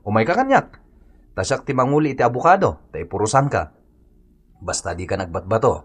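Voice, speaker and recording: An actor playing a forgiving village uncle in a radio comedy-drama, expressive and easygoing, with an Ilocano accent. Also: male voice, mid-range voice, brisk, breathy edge. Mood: forgiving, amused. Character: reformed hot-tempered village man, now forgiving uncle.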